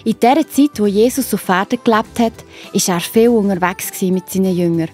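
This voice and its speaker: Female children's storyteller: Swiss German accent, soft dark tone, encouraging direct address, light music bed. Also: medium pitch, normal speed. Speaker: narrator.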